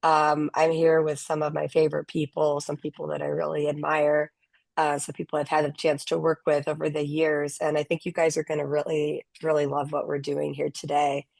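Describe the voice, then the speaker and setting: A low-pitched woman hosting a video call panel. She introduces her guests with enthusiasm and a little fry. The sound is dry and close. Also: completely flat delivery, normal speed, no room sound. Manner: enthusiastic, warm introductions with fillers. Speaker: host. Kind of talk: casual online panel hosting.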